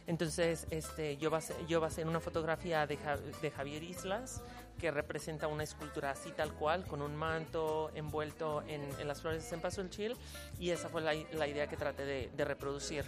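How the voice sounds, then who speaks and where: A woman costume designer explaining her work haltingly, restarting words. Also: low voice, fairly even intonation. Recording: interview with loud music behind. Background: music playing.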